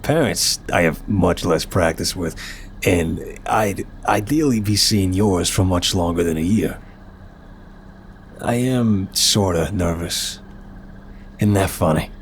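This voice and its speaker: A man's voice: low voice, takes it slowly, slight background noise. A man playing an apologetic, nervous but charming mafia boss in an ASMR roleplay, chatty, wry and flirtatious. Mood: nervous, rambling.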